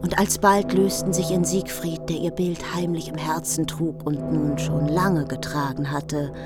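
A woman narrates a literary radio drama. Her voice is low in pitch, telling an epic tale in scripted prose while music plays strongly behind her. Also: little pitch movement, a little slow.

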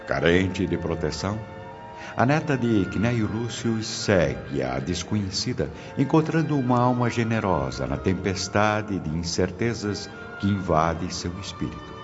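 A man narrating a scripted radio drama in a solemn, formal, dramatic manner. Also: sits low. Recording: dull lo-fi audio with music behind.

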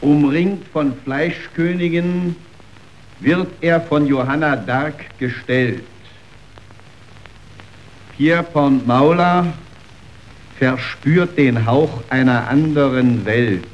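A man acting as the solemn, measured narrator of a radio play, reading scripted scene announcements. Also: on the high side. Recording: muffled, faintly noisy radio recording.

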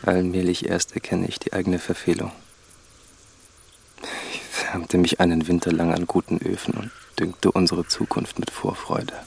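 An actor playing a weary, remorseful married farmer in a radio drama, confessing in a solemn, measured way. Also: male voice, low-pitched, flat delivery, gravelly, a little mellow, slight background noise. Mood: sombre regret. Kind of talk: German literary radio drama acting.

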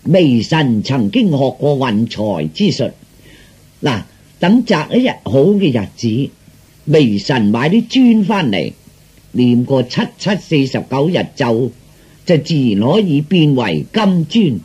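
A scheming royal adviser in a comic radio play, fawning and theatrical. His husky, bright voice swings widely as he wheedles and boasts. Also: mid-range voice, big pitch swings, slow pace, exaggerated voice. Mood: boastful, persuasive. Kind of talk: Cantonese comic radio drama acting.

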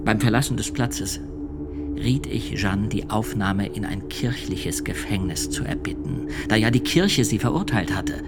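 A man playing a sombre male witness testifying at a trial in a radio drama, telling his account in a measured, hushed way, with music underneath. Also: fairly low pitch, expressive. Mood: grave. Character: male church usher, a grave witness.

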